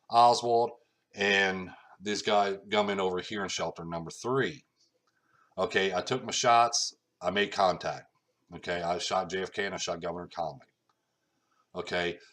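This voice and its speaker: A man's voice: sits low, a bit bright. A middle-aged man giving an informal talk, pointing things out on a map and checking in with "okay" again and again in casual, nonstandard grammar. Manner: repetitive, keeps saying okay, nonstandard grammar. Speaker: self-published researcher presenting a theory.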